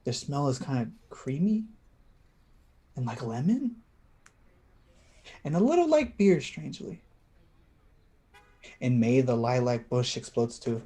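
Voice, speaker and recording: A man's voice: mid-range voice, very animated, warm tone. A man acting in a play reading as an anxious, tender, slightly tipsy man who stammers and hesitates. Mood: wistful. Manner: halting, stammering.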